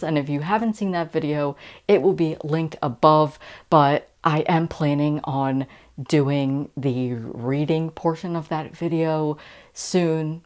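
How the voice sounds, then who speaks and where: Low-pitched American woman, enthusiastic vlogger. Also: a little mellow. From United States. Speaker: book vlogger. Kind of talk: casual chat to camera.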